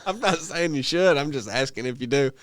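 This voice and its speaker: A man's voice: medium pitch, lively intonation, fairly quick, fairly bright tone, no echo. A man co-hosting a podcast, asking probing questions and laughing easily in casual chat. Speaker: podcast co-host.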